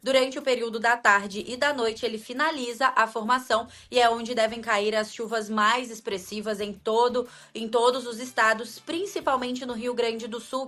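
A young woman from Espírito Santo gives a radio weather report in a mixed Espírito Santo and Minas Gerais accent. Her voice sits fairly high and rings bright and crisp. She explains things clearly and at a measured pace. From Espírito Santo, Brazil.

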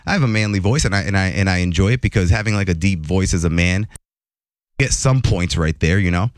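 A man hosting a morning radio show, telling entertainment news in a jokey, animated way. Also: brisk, clean tone, no echo, studio quality. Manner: animated, jokey, voice jumps high for emphasis.

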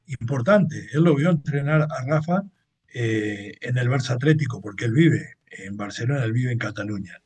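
A man's voice: medium pitch, darkish tone, husky. A man hosting a football show, summing up news and giving opinions in a conversational way. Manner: opinionated, conversational, translates and sums up. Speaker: sports show host. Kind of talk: football commentary.

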